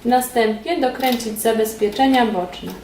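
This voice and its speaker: Higher-voiced woman narrating instructions. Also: darkish tone. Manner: formal, measured, instructional phrasing. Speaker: instructor presenting a beauty device training film. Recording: roomy echo, distant mic, background noise.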